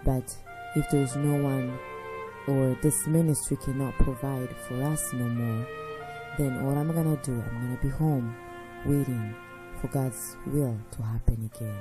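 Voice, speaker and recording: A woman with a low voice gives a documentary interview as a widowed mother. She talks earnestly in short, halting phrases. Music plays clearly under her voice. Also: fairly even intonation. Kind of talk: documentary testimony. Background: background music.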